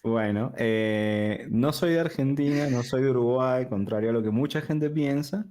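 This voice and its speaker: A man in his thirties, born in Peru, who talks with a River Plate Spanish accent, chatting as a guest in a relaxed, talkative way with many fillers and restarts. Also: medium pitch, moderate pace. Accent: Rioplatense Spanish. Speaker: guest, digital product designer. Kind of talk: casual interview conversation.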